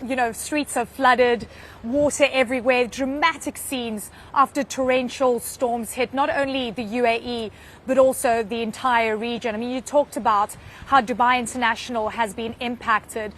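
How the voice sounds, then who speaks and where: Higher-pitched female news reporter. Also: fairly even intonation, normal speed, a bit bright, a little hiss or hum.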